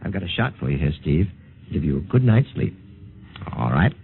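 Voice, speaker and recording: Casting: steady American base medic, low creaky voice, old radio sci-fi, muffled narrow sound. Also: male voice, brisk, full character voice. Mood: measured, soothing. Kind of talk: 1950s American radio drama acting. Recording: muffled, tinny 1950 radio recording.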